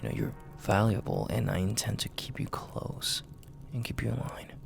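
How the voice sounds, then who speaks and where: Man acting a gravelly, whispering, dominant mafia boss. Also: fairly low pitch, soft background music. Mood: commanding, possessive. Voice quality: gravelly, breathy half-whisper. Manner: slow, commanding, teasing, with drawn-out pauses. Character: dominant, flirty, possessive male mafia boss. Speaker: audio roleplay performer. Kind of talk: intimate audio roleplay.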